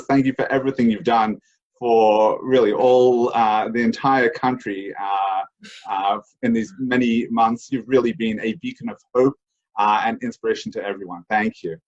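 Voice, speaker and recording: A male host speaks at an average pitch, offering effusive, slightly halting thanks to a guest. The sound is a bit dull and lo-fi. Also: steady tone. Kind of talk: thank-you remarks to a guest. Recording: dull lo-fi video call audio.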